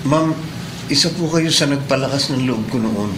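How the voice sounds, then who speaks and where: A man's voice: medium pitch, normal speed, natural voice. A man playing a respectful, earnest young police cadet in a Philippine radio drama, speaking politely with a Filipino accent. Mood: earnest, heartfelt. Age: young adult. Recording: echoey room, clear background noise.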